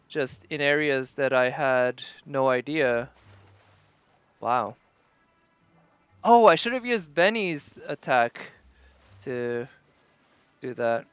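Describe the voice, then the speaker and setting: A man's voice: on the high side, a bit bright, clean tone, dry recording, lo-fi sound. A man live streaming a video game, chatting casually with viewers and musing aloud as he plays. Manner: thinks aloud, tentative and musing.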